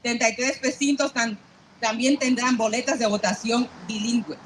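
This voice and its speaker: A woman lawyer giving a formal, measured press statement at a rally. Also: fairly high pitch, steady tone, slight background noise, low-quality recording. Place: outdoor rally.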